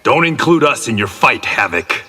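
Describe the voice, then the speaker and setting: A man's voice: medium pitch, sing-song, normal speed, bright, forceful tone, big-room sound. An actor playing a hostile male fighter who speaks in a confrontational way.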